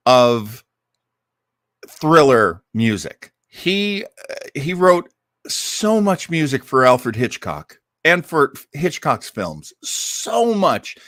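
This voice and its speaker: Guy hosting a show, slow casual storyteller, really animated pitch, leans hard on words for drama. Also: dry recording, clean studio sound. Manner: dramatic storyteller, emphatic, with drawn-out words and repetition for effect. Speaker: host of an old-time radio listening show. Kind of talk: casual live storytelling introduction to a radio classic.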